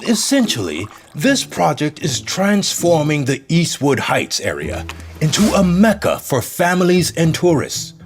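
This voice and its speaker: An actor playing a slick, scheming, domineering city mayor in an audio drama, with a politician's smooth, bossy manner. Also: male voice, fairly high pitch, huge pitch swings, unhurried, soft background music, full character voice. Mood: persuasive, smooth. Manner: smooth politician's charm turning to bossy irritation.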